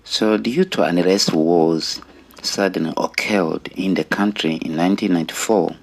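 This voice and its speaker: A middle-aged man with a Rwandan accent giving an earnest personal testimony in deliberate, short phrases with pauses. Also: low voice, gravelly. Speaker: storyteller sharing own life story.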